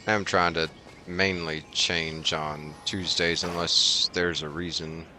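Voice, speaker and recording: Deep-voiced, slow-talking male game streamer. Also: little pitch movement, pushed and bright, slight background noise. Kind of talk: casual livestream chat over gameplay.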